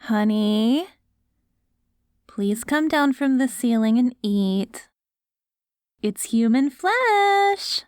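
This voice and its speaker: Casting: woman to play doting postapocalyptic wife for ASMR roleplay, higher-pitched voice, sweet and patient coaxing, slow delivery. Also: animated, smooth, no echo, studio quality. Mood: cheerfully coaxing. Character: doting wife caring for her ghoul husband after apocalypse. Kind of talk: ASMR roleplay audio drama.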